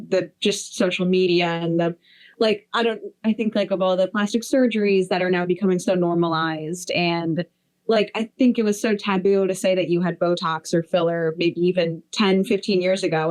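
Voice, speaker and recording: A woman's voice: fairly low pitch, darkish tone. A woman in her twenties co-hosting a podcast, talking casually with lots of fillers and restarts. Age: 20s. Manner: rambling, filler-heavy, enthusiastic. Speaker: podcast co-host. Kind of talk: casual chat.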